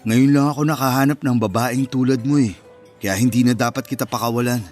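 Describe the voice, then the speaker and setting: An actor playing an earnest, romantic young boyfriend in a Filipino radio romance drama, talking in a sincere, conversational way. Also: male voice, mid-range voice, steady tone, brisk, darkish tone, soft background music, lightly acted.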